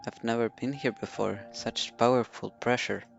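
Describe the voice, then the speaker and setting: A man's voice: mid-range voice, fairly even intonation, normal speed, gravelly. A man streaming a video game, giving casual reaction commentary as he plays. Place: indoors at a computer. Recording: muffled mic over soft game music.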